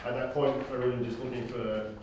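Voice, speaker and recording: A man's voice: medium pitch, level tone, brisk, a little mellow. A man giving a casual, loosely rambling briefing as the organizer of a table read. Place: reverberant room with a group. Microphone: distant room microphone. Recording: echoey room, far mic, noisy background.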